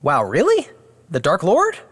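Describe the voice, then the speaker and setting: Excitable small-time schemer, chatty, animated intonation, reverberant hall, audio drama comedy. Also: male voice, a little high, unhurried, breathy edge, exaggerated voice. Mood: easygoing. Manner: rambling, jokey, excitable.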